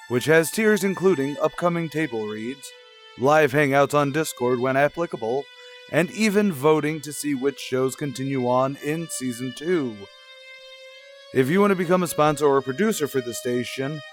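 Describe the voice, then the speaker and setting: A man reading scripted announcements in the style of a radio drama announcer. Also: a little high.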